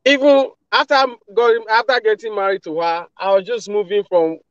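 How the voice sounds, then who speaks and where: A man's voice: high voice, normal speed, clean tone, dry recording. Nigerian man on a muffled video call. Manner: relaxed, frequent "you know" fillers. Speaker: guest on a live video call. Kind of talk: casual testimonial. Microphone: phone video call.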